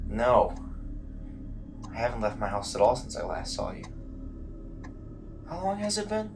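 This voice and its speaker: A male actor plays a worn-out, embittered man in an audio drama. He is volatile and intensely emotional, and his pitch swings widely from phrase to phrase. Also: sing-song, unhurried, over music. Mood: weary bitterness. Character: exhausted, bitter, volatile sleepless man.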